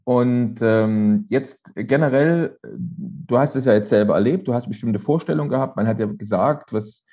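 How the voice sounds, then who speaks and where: Brief: male podcast host, husky and mellow, rambling questions. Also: mid-range voice, normal speed, hardly any pauses. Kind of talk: interviewing.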